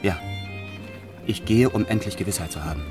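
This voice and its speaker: An actor playing a determined, earnest young peasant in a radio drama, speaking with intensity. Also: male voice, on the low side, fairly quick, music in the background, close to an everyday voice. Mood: resolute. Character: determined, earnest young male peasant searching for his love. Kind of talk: German radio drama acting.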